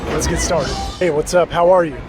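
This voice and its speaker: A man hosting a casual street interview, relaxed and joking, with many filler words. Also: a little high, fairly quick, loud music behind the voice. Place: busy city street outdoors. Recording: street crowd and traffic noise.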